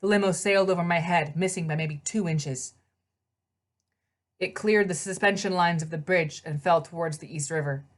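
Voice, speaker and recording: Low-pitched woman reading a story aloud. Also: normal speed. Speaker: read-aloud narrator. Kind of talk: scripted storybook narration.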